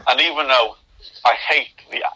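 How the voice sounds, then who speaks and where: A young man with a very bright, sharp voice talks as a podcast guest. He stammers, restarting and blocking on words, and the audio comes through a remote call. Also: medium pitch, fairly even intonation, normal speed, slightly rough, low-quality recording. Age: young adult. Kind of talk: interview conversation.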